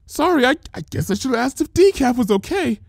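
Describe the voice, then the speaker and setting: A timid, motherly mare who bakes, from a cartoon fan story, as a male reader puts her on. The voice is pitched high, hesitant and apprehensive. It fusses politely and tries to placate. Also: very animated, brisk, vocal fry, no room sound, clean studio sound, full character voice. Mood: nervous. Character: timid, motherly female pony baker, anxious and placating.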